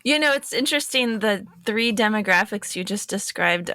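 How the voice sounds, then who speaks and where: A woman's voice: mid-range voice, lively intonation, normal speed, bright, forceful tone, no echo. A woman hosting a podcast interview, asking friendly, leading questions.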